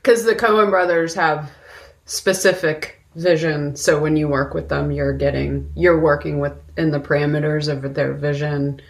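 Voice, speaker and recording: A woman with a somewhat low-pitched voice co-hosts a film podcast. She talks in an informal, conversational way, casually sharing her thoughts in everyday phrasing. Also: fairly even intonation, unhurried, creaky. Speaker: podcast co-host.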